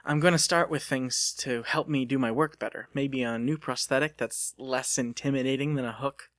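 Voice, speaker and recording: An eager young mechanic in love, played by a man in a dramatic story reading. He talks plainly and earnestly at a medium pitch, in a dry recording. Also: normal speed, fairly bright tone, no echo, lightly acted. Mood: eager, hopeful. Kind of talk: dramatic audiobook character reading.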